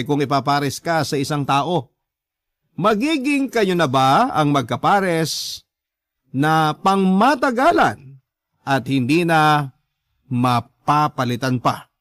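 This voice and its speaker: A man hosting a radio love story show, reading a scripted letter dramatically in measured, pause-filled storytelling phrases. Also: a little high, fairly bright tone, no room sound, studio quality.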